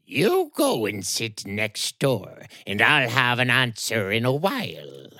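A man voicing a shrewd old fortune-reading woman in a storybook, her words slow and drawn out. Her pitch rises and falls widely, and the sound is dry and close. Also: huge pitch swings, sharp tone, no echo, heavily acted. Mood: knowing. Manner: slow, knowing, drawn-out speech.

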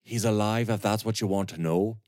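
A man voicing a calm, grim young avenger. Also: fairly quick, no echo, clean studio sound, lightly acted. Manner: low, controlled, calm voice.

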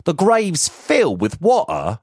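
A man's voice: fairly high pitch, wildly swooping pitch, measured pace, slightly rough, dry recording, studio quality, voice pushed up, exaggerated voice. A man voicing a humble working-class gravedigger speaking up to his master. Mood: deferential.

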